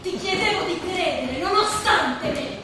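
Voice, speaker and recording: A woman's voice: very high voice, lively intonation, unhurried. An actress playing a weary, wounded and reproachful woman in an Italian stage drama, with an intense, pleading theatrical delivery. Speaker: stage actor. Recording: distant, echoey hall with background noise.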